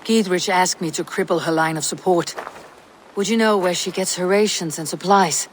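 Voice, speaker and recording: A woman's voice: lowish voice, normal speed, slight background noise, natural voice. An actress playing a proud, stubborn Viking warrior woman in a video game cutscene, speaking firmly and bluntly. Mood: steady, earnest.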